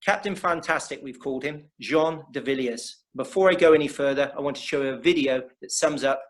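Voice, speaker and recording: A man's voice: medium pitch, a little fast. A middle-aged man with an English accent, hosting an interview event and introducing the evening in an earnest way, often repeating and restarting phrases. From England. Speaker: host.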